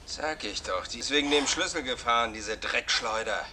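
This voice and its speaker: A man's voice: medium pitch, normal speed, noisy background, close to an everyday voice. An actor playing a crude, distrustful gangster in a German radio crime drama, scornful and coarse in his talk. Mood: angry, suspicious.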